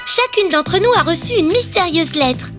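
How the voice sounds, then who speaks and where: A woman's voice: very high voice, animated, normal speed. Polite, tiny-voiced cartoon girl leader. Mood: earnest. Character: polite, organised young cartoon girl, group spokeswoman. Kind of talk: dubbed children's cartoon acting. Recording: children's music behind the voice.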